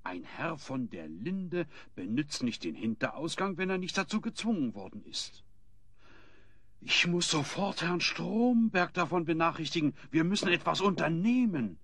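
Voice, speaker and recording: A man's voice: fairly high pitch, very animated, normal speed, fairly bright tone, no echo, clean studio sound, heavily acted. A man playing a gossipy, easily indignant innkeeper in a German audio play, given to astonished exclamations. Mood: shocked, thoughtful. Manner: theatrical, prone to astonished exclamations. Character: indignant, gossipy male innkeeper of a town inn.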